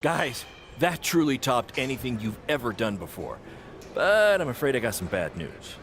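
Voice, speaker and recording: An excitable male promoter talks like a proud salesman in a video game scene. His medium-pitched voice moves in lively swings, with clear noise behind it. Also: moderate pace. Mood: apologetic. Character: excitable fast-talking male wrestling promoter, proud and cautious. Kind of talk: video game cutscene acting.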